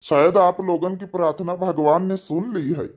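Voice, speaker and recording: A man's voice: high-pitched, steady tone, normal speed, darkish tone. A man voicing a polite hospital doctor in a cartoon drama, speaking formally and courteously. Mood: courteous, amazed. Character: polite hospital doctor announcing a miraculous birth.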